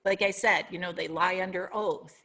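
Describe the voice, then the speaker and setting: A middle-aged woman with a Minnesotan accent, speaking as an interview guest in an earnest, reflective way, often pausing to search for words. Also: lowish voice, steady tone, bright, forceful tone. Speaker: guest author. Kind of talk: podcast interview.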